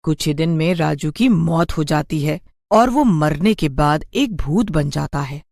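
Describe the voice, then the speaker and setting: An expressive narrator tells a scripted cartoon tale. The pitch is moderate but moves around a great deal from phrase to phrase. The recording is dry, with no audible room. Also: measured pace, gravelly, no echo. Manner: expressive storytelling.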